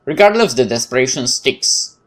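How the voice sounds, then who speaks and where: A man's voice: mid-range voice, expressive, moderate pace, a bit bright. A man with a Polish accent reading visual novel text aloud as a let's play host, dramatically, with occasional stumbles and casual asides. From Poland.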